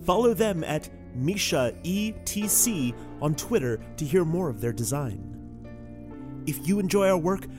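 Measured male producer reading credits. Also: on the high side, sing-song, over music. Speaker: executive producer. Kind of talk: scripted podcast credits read.

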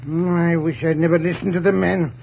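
An actor playing a stuffy, hesitant older suitor with a creaky, husky voice in a 1940s radio drama. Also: male voice, a little high, flat delivery, a little fast, darkish tone, slight background noise. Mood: worried, peevish. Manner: hesitant, stammering, fussy. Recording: dull 1940s radio recording.

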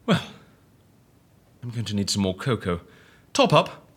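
A performer playing a flamboyant, sardonic, eccentric investigator in an audio drama, husky and theatrical, given to teasing and exclamation. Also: low-pitched, very animated, unhurried, a bit bright, a little hiss or hum, heavily acted. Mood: astonished, amused.

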